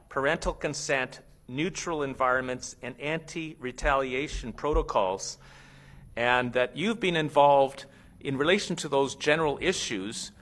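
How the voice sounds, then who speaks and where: A man with a mid-pitched voice moderates a hearing. He speaks formally but conversationally, haltingly reworking his phrasing as he puts things to a witness. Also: fairly bright tone. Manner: halting, rephrases as they go.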